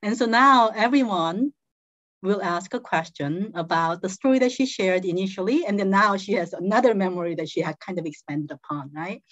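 Woman calmly leading an online game. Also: mid-range voice, normal speed, no room sound. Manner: patient, explaining step by step with soft laughs. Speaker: game facilitator. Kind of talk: casual guiding of an online game. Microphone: video call. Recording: dull, dry video call audio.

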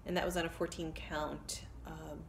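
A woman's voice: low-pitched, level tone, moderate pace, vocal fry, slight background noise. A woman in her thirties chatting to camera from her living room, rambling and correcting herself with many ums. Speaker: cross-stitch vlogger. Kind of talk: casual chat to camera. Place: living room with kids' toys, indoors. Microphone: phone camera at arm's length.

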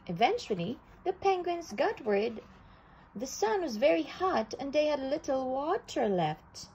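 A woman reads a children's picture book aloud at a table indoors. Her fairly high voice swings widely in pitch. She takes her time over every line, with a faint hiss behind her. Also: highs cut off. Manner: slow, animated storytelling with occasional restarts. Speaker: storybook reader.

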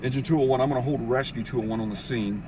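A man working as a fire incident commander, giving procedural, clipped instructions in radio dispatch style. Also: rapid-fire. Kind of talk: fireground radio dispatch talk. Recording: noisy outdoor scene, vehicle rumble.

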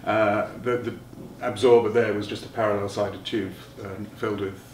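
A middle-aged man giving a technical explanation as an interviewed designer, halting and thinking aloud as he goes. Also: lowish voice, level tone. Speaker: loudspeaker designer being interviewed. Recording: roomy, distant mic, noticeable background noise.

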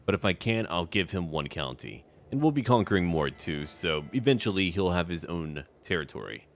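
A man's voice: low voice, animated, moderate pace. A man narrating a strategy game in character, musing aloud theatrically in short, clipped phrases over music. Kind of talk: in-character roleplay game commentary.

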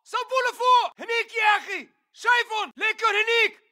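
An actor voicing a fierce, defiant militant fighter in a shooter game, with a Levantine Arabic accent and urgent, clipped callouts. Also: male voice, extremely high pitch, fairly quick, bright, forceful tone, shouting, exaggerated voice. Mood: urgent alarm. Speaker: enemy soldier voice in a shooter game. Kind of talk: combat battle chatter, shouted barks.

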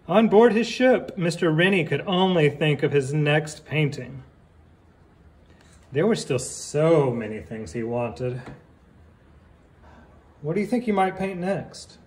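A man reading a picture book aloud like a teacher, deliberately, with casual asides and questions for young listeners. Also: on the high side, expressive.

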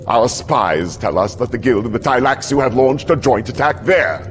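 A man's voice: mid-range voice, lively intonation, moderate pace, slight background noise, close to an everyday voice. An actor playing a middle-aged, loyal military aide in a game, dramatic and deferential toward his lord. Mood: grave, alarmed. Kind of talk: video game cutscene acting.

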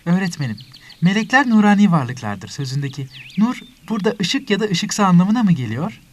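Guy doing a curious eager schoolboy, cartoon, high voice, lots of up and down. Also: big pitch swings, brisk. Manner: questioning. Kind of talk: scripted educational cartoon dialogue.

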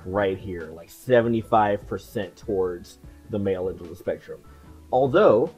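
A person in their late twenties chatting casually to camera in a vlog, relaxed and full of fillers and soft laughs, on a headset mic in a small room. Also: mid-range voice, lo-fi sound. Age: late 20s. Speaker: video creator. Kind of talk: casual talking-head vlog. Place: small cluttered room indoors.

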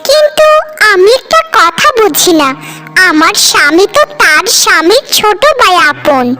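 Woman acting shrill, nagging cartoon village housewife. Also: very animated, unhurried, heavily acted. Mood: envious grumbling. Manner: scolding, complaining, sarcastic. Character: discontented, envious, nagging young village housewife. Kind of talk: cartoon voice acting in dramatic dialogue. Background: loud background music.

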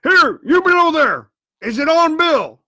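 Man acting a furious high-shouting blind pirate. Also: measured pace, slightly rough, no room sound, studio quality, heavily acted. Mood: enraged. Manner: shouting, cursing, barking orders. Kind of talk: storybook character acting.

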